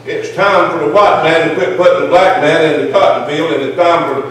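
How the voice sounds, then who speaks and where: A man's voice: on the high side, a little fast, fairly bright tone. Man in his 60s preaching in an echoey church. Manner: preacherly, building to emphatic declarations. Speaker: audience member at a town hall. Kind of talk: impassioned public speech. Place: large church sanctuary. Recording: echoey church hall from far off.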